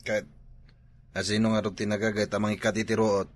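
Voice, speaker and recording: A man's voice: on the low side, little pitch movement, a little fast, lightly acted. A man with a Filipino Ilocano accent acting a carefree, lazy young villager who likes a drink, in an amateur comedy drama. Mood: lazy, unworried.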